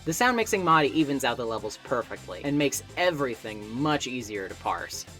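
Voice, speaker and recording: A man's voice: mid-range voice, very animated, brisk, fairly bright tone. Wry male video essayist over music. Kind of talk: scripted video essay narration. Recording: music playing behind the voice. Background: background music.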